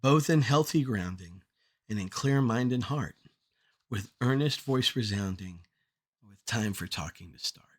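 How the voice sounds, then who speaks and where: A man with an average-pitched voice recites verse as a poet at a read-through. His delivery is earnest and deliberate, with the lines broken into short, measured phrases. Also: animated. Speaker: poet reading own work. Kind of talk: poetry recitation at a draft table read.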